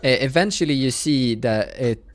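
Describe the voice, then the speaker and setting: A man narrating a tabletop roleplaying game as game master, improvising descriptions in a halting, searching way. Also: medium pitch, expressive.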